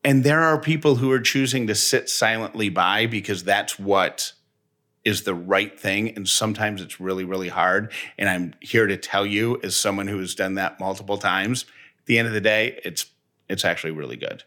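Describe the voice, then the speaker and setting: A man's voice: on the low side, normal speed, pushed and bright. An American man in his thirties chatting casually as a co-host, emphatic, often repeating and restarting phrases. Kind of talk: casual personal chat.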